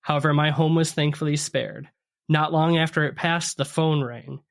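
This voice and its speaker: A man's voice: a little high, steady tone, moderate pace, gravelly. A man co-hosting a podcast, telling spooky stories in a conversational way and ad-libbing as he goes. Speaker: podcast co-host.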